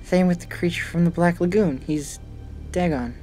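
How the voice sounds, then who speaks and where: A man's voice: sits high, normal speed, a little mellow, faint music behind, close to an everyday voice. A man playing a paranoid, intense teenage boy in an audio drama, with a cynical, conspiratorial manner. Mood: urgent, secretive.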